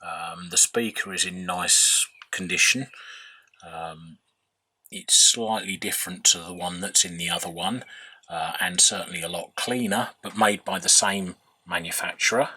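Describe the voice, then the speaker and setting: A man's voice: low voice, a little slow, sharp tone, slightly rough, low-quality recording. A man giving an unscripted, hands-on workbench narration as a hobbyist, hesitantly thinking aloud. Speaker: hobbyist radio restorer.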